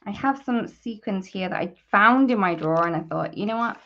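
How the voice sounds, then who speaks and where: A woman's voice: medium pitch, expressive, fairly quick, bright, forceful tone. Chatty woman hosting a craft demo, dull audio. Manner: chatty, informal, thinking aloud as she works. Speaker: host of a live papercrafting demonstration. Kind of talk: casual live craft tutorial, chatting to viewers.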